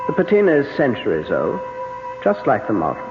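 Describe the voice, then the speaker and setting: An actor playing a genial, courteous English gentleman with a British accent in a radio drama. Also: medium pitch, wildly swooping pitch, fairly quick, over music. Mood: light, reassuring. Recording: muffled old radio broadcast with noise.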